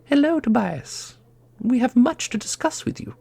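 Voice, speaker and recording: A woman playing an ancient female custodian in a fantasy audio drama, dignified and sorrowful, speaking in a formal, measured, gentle way. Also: a little high, lively intonation, vocal fry, husky, exaggerated voice. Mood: composed, firm.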